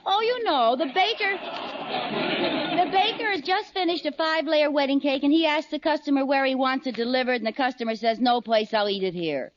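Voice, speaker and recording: A woman's voice: high-pitched, fairly quick, dull audio, close to an everyday voice. A woman playing a zany, mischievous, talkative American wife in a 1940s radio comedy, on an old recording. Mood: needling.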